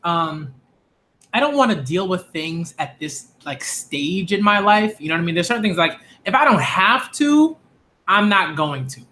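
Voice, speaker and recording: Emphatic high-voiced man, exasperated. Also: animated, normal speed, pushed and bright, a little hiss or hum. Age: 20s. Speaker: channel host. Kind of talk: direct-to-camera rant.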